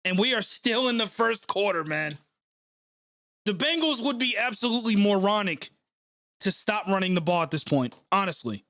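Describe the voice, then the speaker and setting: A young man reacts live to a game for his stream, his nose stuffed up from a cold. His voice sits fairly high, and he vents with sarcasm and frequent swearing. The sound is dry and close. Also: expressive, moderate pace, bright, forceful tone, no echo. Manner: frustrated, sarcastic, swears often. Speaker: sports channel host reacting live. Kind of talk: live play-by-play reaction stream, reading chat.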